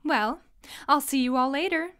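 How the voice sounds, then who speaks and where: A woman's voice: high voice, moderate pace, a bit bright. An actress playing a smug villain's henchwoman in a fantasy audio drama, taunting with fake politeness. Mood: mocking, sweet. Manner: sing-song faux politeness, taunting. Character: mockingly sweet, smug female villain's henchwoman.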